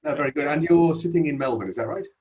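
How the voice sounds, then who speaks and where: A man hosting a podcast interview, chatting easily and asking relaxed questions. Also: fairly high pitch, racing, dull audio. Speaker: podcast host.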